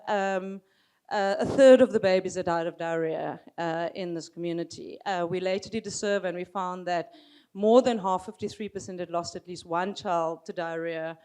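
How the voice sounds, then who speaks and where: A woman with a loud voice speaking on a panel, telling an earnest story and repeating words as she searches for them. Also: lowish voice, clear, resonant tone. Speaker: panelist, nonprofit co-founder.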